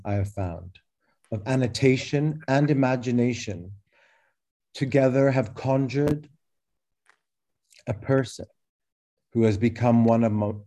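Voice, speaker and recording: A man whose first language is Arabic, reading a measured, lyrical spoken essay about a work he is presenting. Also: mid-range voice, dark tone. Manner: measured, deliberate, lyrical phrasing. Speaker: artist presenting a sound work.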